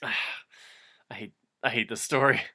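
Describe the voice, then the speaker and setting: A man with a bright, forceful voice reads a story aloud in a mock-dramatic way. He keeps breaking off into casual, amused asides and stumbles back into the narration. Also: mid-range voice, fairly even intonation, slow, husky. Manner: breaks into giggles, mock-dramatic, self-interrupting. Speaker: reader of a fan fiction dramatic reading.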